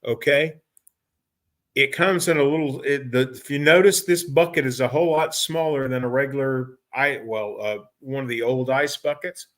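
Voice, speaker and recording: Halting, folksy male trainer. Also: medium pitch, fairly bright tone. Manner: folksy, halting, repeats and rephrases himself. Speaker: technical trainer for repair technicians. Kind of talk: informal training lecture.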